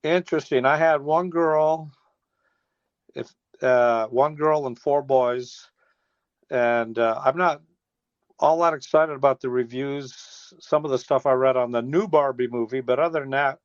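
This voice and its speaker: A man's voice: mid-range voice, unhurried, no room sound. An American man in his sixties chatting casually as an interview guest, folksy and rambling, with trailing restarts. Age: 60s. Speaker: interview guest, teacher and former air traffic controller. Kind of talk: casual phone interview, reminiscing. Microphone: telephone. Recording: dull, lo-fi phone line.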